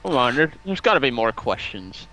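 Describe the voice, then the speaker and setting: A man's voice: medium pitch, very animated, normal speed, bright, forceful tone, poor audio. A man bantering on a livestream panel in a teasing, mock-exasperated manner. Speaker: stream panelist.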